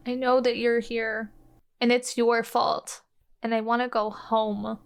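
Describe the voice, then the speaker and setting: A woman's voice: on the high side, little pitch movement, a little slow, clear, resonant tone. A woman playing a tabletop game, insistent and pleading in character. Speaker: player.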